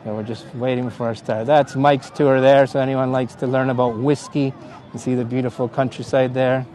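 A man's voice: medium pitch, flat delivery, normal speed, darkish tone. A middle-aged man from Canada with a Canadian accent, a tour guide chatting casually on a live stream outdoors, laughing often and greeting viewers by name. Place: outdoor hilltop park. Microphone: phone on gimbal at arm's length.

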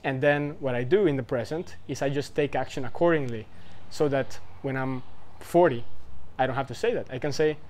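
A man's voice: medium pitch, normal speed, darkish tone. A young man in his twenties talks loosely to the camera in a mid-range voice. He rambles, circles back, and fills his talk with "like" and "right?". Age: 20s. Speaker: vlogger giving life advice.

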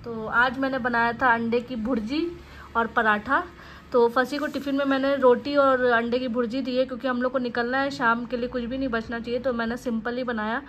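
A woman's voice: fairly high pitch, fairly bright tone, a little hiss or hum. A woman from Bangalore, India, narrating her day in a chatty way and talking straight to her viewers as friends. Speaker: vlogger. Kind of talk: casual vlog narration.

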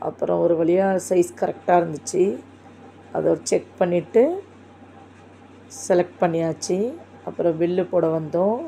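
A woman's voice: on the low side, steady tone, a little slow, gravelly, long pauses, warm tone, a little hiss or hum. A woman casually narrating her shopping trip in a chatty, enthusiastic way. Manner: chatty, enthusiastic, repeats "super super". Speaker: vlogger.

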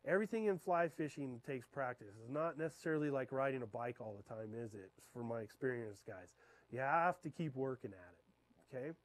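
A man in his thirties, a fishing guide, giving a talk in a darkened lecture room and checking in with his listeners with a steady "okay?". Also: medium pitch, brisk, no echo, poor audio. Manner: keeps checking in with the audience with "okay?". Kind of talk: classroom talk to an audience. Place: dark lecture room beside a projector screen.

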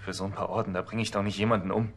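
An actor playing a rattled, defensive male suspect under police questioning in a TV crime comedy, with a rough voice. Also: on the low side, level tone, rapid-fire. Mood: indignant, defensive. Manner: defensive, protesting. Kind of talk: TV comedy crime series acting.

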